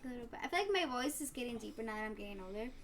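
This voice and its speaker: A young woman from Mexico, a podcast guest, talking casually in a soft, breathy way. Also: on the high side, lively intonation, rapid-fire, slight background noise. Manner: laughs often, breathy and soft-spoken. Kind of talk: casual chat.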